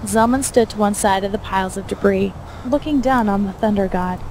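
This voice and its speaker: A woman's voice: medium pitch, brisk, a little mellow, slight background noise, rough recording. A woman reading scripted fiction aloud as the narrator of an action fantasy story.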